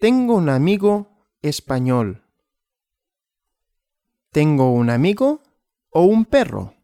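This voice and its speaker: A man teaches a language lesson at a very slow, careful pace, asking simple questions and answering them himself. His pitch rises and falls widely, and the sound is dry and close. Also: very animated, creaky, dark tone, no echo. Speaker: Spanish teacher.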